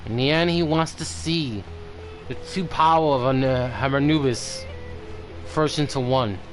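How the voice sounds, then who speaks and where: A man's voice: mid-range voice, unhurried, faint music behind. A man streaming a video game, giving casual live reaction commentary.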